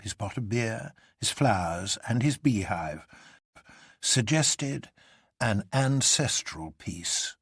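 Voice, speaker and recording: A man's voice: fairly low pitch, breathy edge, no room sound, studio quality. A man narrating a literary story in a dramatic audiobook style.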